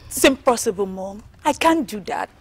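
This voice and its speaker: A woman's voice: mid-range voice, animated, normal speed, slightly rough. An actress playing a young daughter pleading with her mother for a forbidden love. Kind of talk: melodrama acting.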